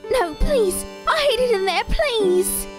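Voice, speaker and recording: Living ventriloquist's dummy, female, very high voice, slow biting sarcasm, audio drama, music behind. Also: expressive, heavily acted. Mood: pleading.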